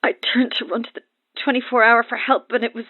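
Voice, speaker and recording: A woman's voice: on the high side, level tone, fast talker. A woman playing a terrified radio phone-in caller in a horror audio drama, telling things shakily in broken phrases through a telephone filter. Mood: shaken. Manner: shaken, breathless storytelling in broken phrases. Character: terrified woman calling a late-night radio show. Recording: thin, narrow phone line.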